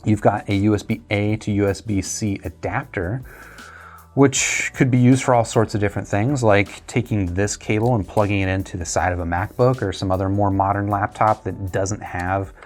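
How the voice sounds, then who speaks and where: A man's voice: fairly low pitch, steady tone, moderate pace, faint music behind. A man chatting casually through a tech review and unboxing, conversational and thinking aloud as he goes.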